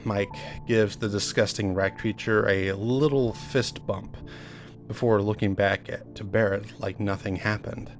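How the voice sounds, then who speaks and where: A man's voice: fairly low pitch, steady tone, unhurried. A man narrating a first-person horror story in a conversational, hesitant, reminiscing way. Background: music under the voice.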